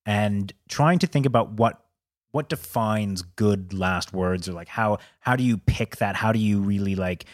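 Low-voiced man, hesitant casual podcast talk. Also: a little slow, no room sound, studio quality. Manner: hesitant, thinking aloud, swearing. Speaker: film review podcast co-host. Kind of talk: casual chat.

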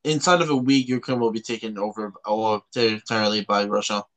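A man from Canada hosting a casual podcast, talking in a halting way and often rephrasing himself. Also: on the low side, fairly even intonation, fairly bright tone. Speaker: podcast host.

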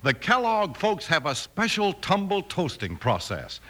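A man announcing in polished, emphatic 1950s radio style, as in a sponsor pitch or story narration. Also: wildly swooping pitch, measured pace, fairly bright tone.

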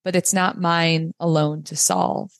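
A woman giving a guided reading in a calm, explanatory manner. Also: fairly low pitch, a little slow, vocal fry, dry recording, clean studio sound. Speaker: human design reader, host.

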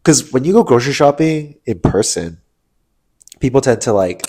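A man's voice: medium pitch, expressive, normal speed, a little mellow, slightly rough. A young man with a stuffed-up nose from allergies, co-hosting a casual podcast chat. He rambles, laughs often and restarts his sentences. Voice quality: nasal. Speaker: podcast co-host.

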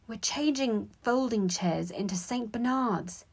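A bossy teenage schoolgirl from a storybook, put on by a woman reader. She scolds in a raised pitch with exasperated, emphatic snap. She sounds sure that she knows best. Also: measured pace, gravelly, husky, heavily acted. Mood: lecturing. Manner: snappy, emphatic, know-it-all.

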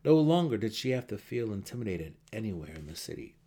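A man's voice: fairly low pitch, sing-song, fast, mellow. A man narrating a fantasy audiobook dramatically, with theatrical emphasis.

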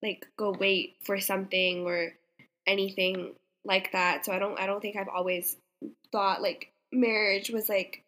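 A young woman speaks with a very bright, forward tone at an average pitch. She chats casually as a podcast co-host. Her manner is animated and slangy, with "like" scattered through her sentences. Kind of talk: casual chat.

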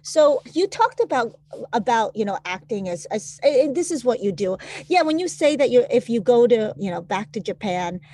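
A woman hosting an interview with a slightly higher voice. She asks casual, friendly questions and often restarts mid-sentence while she finds her wording. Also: animated, moderate pace, warm tone.

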